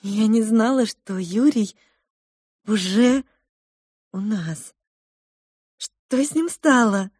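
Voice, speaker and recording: A woman voicing a bold, curious young girl who speaks eagerly and loudly in a storybook reading. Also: fairly high pitch, lively intonation, takes it slowly, long pauses, breathy edge, no room sound, clean studio sound, voice pushed up, heavily acted.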